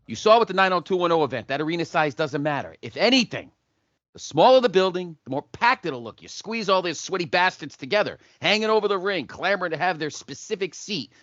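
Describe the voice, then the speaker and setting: A man playing a pushy, crude wrestling schemer who pitches emphatically like a salesman in a comedic audio play. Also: very animated, fairly quick, a bit bright, dry recording, highs cut off. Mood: insistent, relishing.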